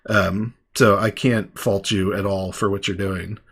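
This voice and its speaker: A man in his thirties hosting a live online call-in show, relaxed and chatty, with many fillers and restarts. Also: sits low, measured pace, breathy edge. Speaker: host. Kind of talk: casual live call-in chat.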